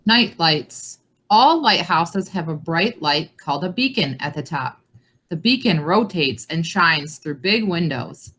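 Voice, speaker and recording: Woman doing a kids read along, voice a bit on the low side. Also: animated, a little slow, fairly bright tone. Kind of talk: reading a children's nonfiction book aloud.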